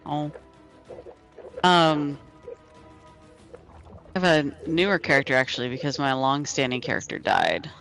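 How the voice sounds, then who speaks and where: A man's voice: on the high side, measured pace, gravelly, pauses often, a bit bright, faint music behind. A man in his thirties chatting casually with fellow players over a headset, rambling with lots of fillers. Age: 30s. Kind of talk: casual gaming chat.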